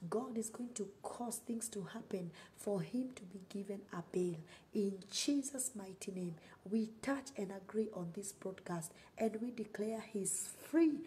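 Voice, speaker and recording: A middle-aged Kenyan woman preaches to an online audience in a mellow, mid-pitched voice. She prays emphatically, repeating phrases to drive them home. The recording is homemade, with noticeable background noise. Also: a little slow. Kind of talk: sermon and prayer on a livestream.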